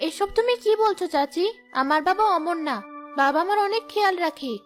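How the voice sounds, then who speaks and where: Bossy, very high-voiced little girl. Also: expressive, fairly quick, faint music behind. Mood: cheeky, loyal. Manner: cheeky, scolding like a little housewife. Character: plucky, bossy little girl, grown-up beyond her years. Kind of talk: children's cartoon story acting.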